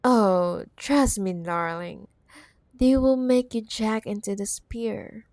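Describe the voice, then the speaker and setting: A woman's voice: mid-range voice, slow, a little mellow, no echo, clean studio sound. A woman playing a possessive, menacing corporate CEO ex-girlfriend in a roleplay ASMR drama, mock-sweet and teasing, sometimes dropping to a whisper. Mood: cold, coaxing.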